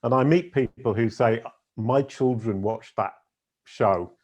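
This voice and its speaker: A middle-aged man with a big deep voice, a podcast guest telling long stories in casual conversation, with fillers and self-corrections along the way. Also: measured pace, a little mellow. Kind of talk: casual storytelling interview.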